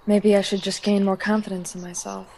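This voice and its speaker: A woman's voice: medium pitch, level tone, a little fast, vocal fry, mellow, a little hiss or hum, natural voice. A woman acting an insecure, romantically unlucky teenage schoolgirl in an amateur audio drama, self-pitying and hesitant. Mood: brightening, grateful.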